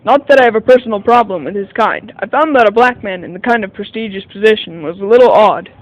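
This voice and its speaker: A man's voice: high voice, fast, dry recording, natural voice, low-quality recording. A man playing a detective's sidekick who narrates a 1940 radio mystery in the first person. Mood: measured recounting.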